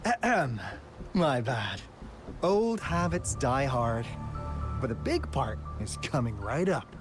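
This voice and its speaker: An actor playing a playful young investigator in a video game, a teasing storyteller with theatrical delivery and dramatic pauses. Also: male voice, medium pitch, big pitch swings. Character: roguish young male treasure-hunting investigator, playful storyteller. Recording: music behind the voice. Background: game music.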